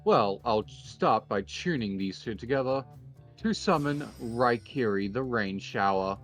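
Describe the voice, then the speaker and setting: Confident male duelist acted by a man for anime game roleplay; measured, composed delivery, music bed. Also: medium pitch, expressive, a bit bright. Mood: assured. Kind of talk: improvised Minecraft anime roleplay. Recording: music behind the voice.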